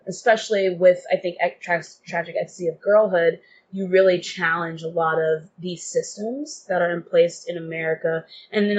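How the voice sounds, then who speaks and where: A woman's voice: lowish voice, darkish tone. A woman asking questions conversationally as a podcast interviewer. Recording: dull, noisy recording with room ambience.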